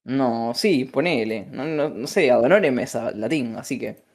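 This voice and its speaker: A man's voice: medium pitch, expressive, moderate pace. A man with a Rioplatense Spanish accent in casual, joking chat.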